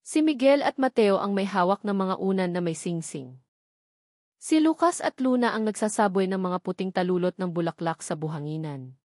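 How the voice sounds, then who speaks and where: A woman with a Filipino accent narrating a scripted dramatic story in a sentimental, measured way. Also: mid-range voice, expressive, a little mellow, no room sound, studio quality.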